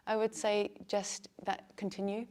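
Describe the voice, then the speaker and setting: A woman's voice: mid-range voice, level tone, a little slow, warm tone. A woman teaching yoga teacher trainees, talking in a chatty way and laughing often. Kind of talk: teaching a class.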